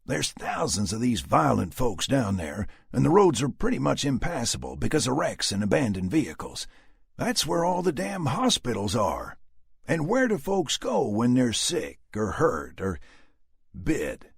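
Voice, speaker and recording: Dallas is a hard-bitten southern survivor with a slow, sneering Southern US drawl, as a male narrator puts him on. His pitch climbs well above the reader's own low voice, and a gravelly edge clings to every word. Each line sounds blunt and sardonic. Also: huge pitch swings, dry recording, studio quality, heavily acted. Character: gruff southern man, tough, sardonic.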